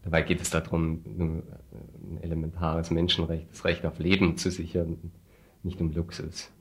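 A man with a very low, husky voice speaks as an interview guest. His pitch barely moves as he explains his points in a hesitant, critical, matter-of-fact way. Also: completely flat delivery, moderate pace. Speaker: interview guest, refugee aid activist. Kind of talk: radio interview, earnest explaining.

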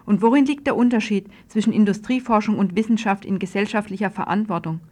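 A woman's voice: mid-range voice, quick pace, darkish tone. A woman radio presenter reading a scripted political news report.

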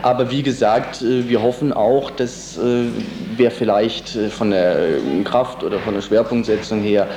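Halting, mellow-voiced man in an echoey room. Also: on the low side. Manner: halting, searching for words, many hesitations. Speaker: interviewed political organizer. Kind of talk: spontaneous radio interview. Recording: roomy, echoey, faint background noise.

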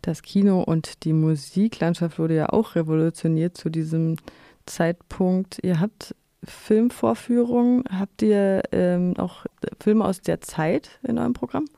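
A woman's voice: on the low side, unhurried, gravelly, dark tone, no room sound, studio quality. A woman asking informal questions as a radio interviewer.

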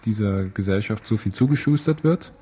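A man's voice: lowish voice, animated, moderate pace, dark tone, husky. A man presenting an informal local news interview, phrasing his questions loosely and with some hesitation.

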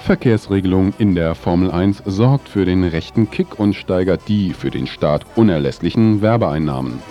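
A man's voice: sits low, lively intonation, normal speed. A man narrating a scripted mock report as a radio presenter. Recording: dull lo-fi radio recording. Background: music.

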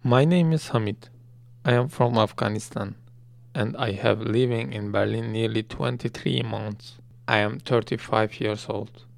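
Slow, gravelly man interpreting. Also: lowish voice, fairly even intonation. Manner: measured, deliberate delivery. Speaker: interpreter giving English summary. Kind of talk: translated summary.

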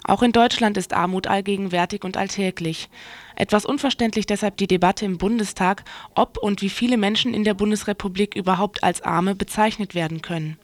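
Woman, radio news reader, middle pitch, dry studio-like sound, scripted report. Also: fairly even intonation, brisk, no echo.

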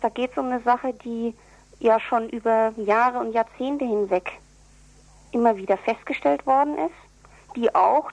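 A woman being interviewed on radio, explaining things in an earnest, deliberate way. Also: a little high, creaky, darkish tone, no room sound, low-quality recording. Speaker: interviewee, activist for prisoners' relatives newsletter.

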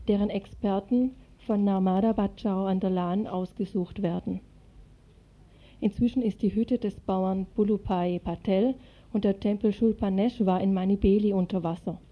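A woman's voice: medium pitch, fairly even intonation, moderate pace. A woman narrating a scripted radio report as a contributor to a news feature. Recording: dull, band-limited radio recording.

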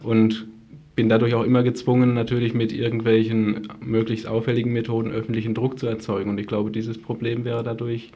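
A man's voice: medium pitch, completely flat delivery, a little fast, warm tone. A man speaking as an activist guest in a radio interview, explaining things earnestly and at length.

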